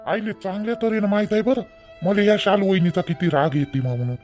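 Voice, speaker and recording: A man's voice: high-pitched, fast talker, a little mellow, soft background music, lightly acted. A man voicing a blunt, demanding young married son in an amateur village cartoon, with a Vidarbha Marathi accent. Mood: resentful, curt.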